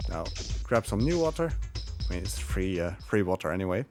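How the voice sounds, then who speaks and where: A man's voice: low-pitched, very animated, brisk. A man giving easygoing, conversational live commentary as he plays through a game. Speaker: speedrunner playing and commentating. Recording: game music behind.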